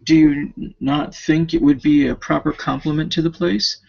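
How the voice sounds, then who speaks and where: Courtly, chatty gentleman, creaky man reading him. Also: a little high, steady tone, normal speed. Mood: inquisitive. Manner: formal, courtly, wordy. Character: affable, chatty English gentleman fond of society. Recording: dull lo-fi home recording.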